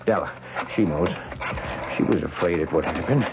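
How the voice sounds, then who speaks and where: A man's voice: deep voice, moderate pace. An actor playing a panicked young man who stammers, gasps and pleads in a 1970s radio drama. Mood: desperate. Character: panicked young man trapped in an old man's body. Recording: dull, noisy old radio recording.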